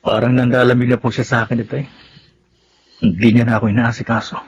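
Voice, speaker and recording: An actor playing an earnest, hurt, principled husband in a Filipino melodrama, pleading with a Filipino accent. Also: male voice, mid-range voice, level tone, quick pace, slight background noise, lightly acted. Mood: dejected. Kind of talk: melodramatic Filipino serial drama acting.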